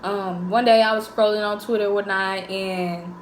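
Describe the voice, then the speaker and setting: A woman, chatting and telling stories in an animated way during a couple's Q&A. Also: medium pitch, normal speed, slight background noise. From Jackson, Mississippi. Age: 20s. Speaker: co-host of a couple's channel. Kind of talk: casual Q&A chat, storytelling. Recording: echoey room.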